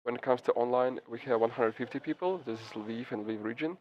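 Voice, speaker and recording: A man giving live interpretation of a press briefing, halting with frequent restarts and fillers. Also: medium pitch. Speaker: simultaneous interpreter. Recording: slightly muffled with faint background noise.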